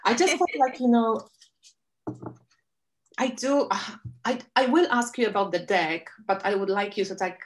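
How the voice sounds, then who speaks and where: A woman's voice: a little high, normal speed, long pauses. A woman hosting a casual interview, asking friendly, rambling questions full of fillers like "you know". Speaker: interview host.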